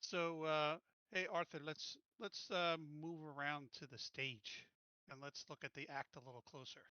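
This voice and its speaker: Male game player, higher forceful voice, casual banter, slightly muffled sound. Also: fairly even intonation, normal speed, clean tone, no room sound.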